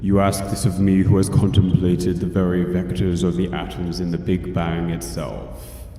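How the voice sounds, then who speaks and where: An amateur actor playing a grandiose, weary, all-knowing computer in a radio comedy, pompous and deliberate. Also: male voice, low-pitched, level tone, mellow. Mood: lofty, disdainful. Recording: echoing hall, distant, faint noise.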